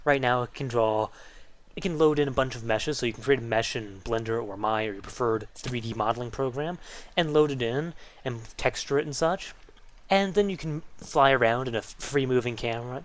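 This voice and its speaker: A young man giving a casual, rambling update to viewers, often restarting sentences and putting himself down. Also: mid-range voice. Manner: self-deprecating, rambling with false starts. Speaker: tutorial video creator.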